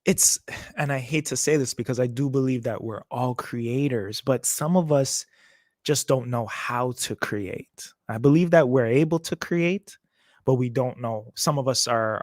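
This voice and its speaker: A man's voice: mid-range voice, no room sound, studio quality. A man as a guest on a podcast interview, speaking earnestly and searching for words as he goes. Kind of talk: casual conversational interview. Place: remote video call.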